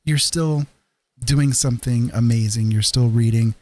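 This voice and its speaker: This is an American man with an average-pitched voice hosting a casual livestream. He speaks in a friendly, rambling manner, addressing his viewers directly. His delivery is informal and reassuring. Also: measured pace, a little mellow. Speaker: live streamer host.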